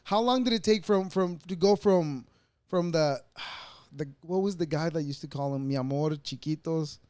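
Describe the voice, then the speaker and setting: A man's voice: fairly high pitch, moderate pace, darkish tone, smooth, dry recording. A man from El Salvador hosting a casual interview, conversational with frequent restarts. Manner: conversational, restarts and laughs often. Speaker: podcast host.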